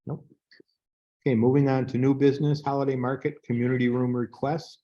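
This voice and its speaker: A slightly muffled recording of a man with a medium-pitched voice. He chairs a local meeting, speaking in an informal, procedural way as he works through business. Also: flat delivery, fairly quick, dark tone. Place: meeting room. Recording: dull, muffled room recording.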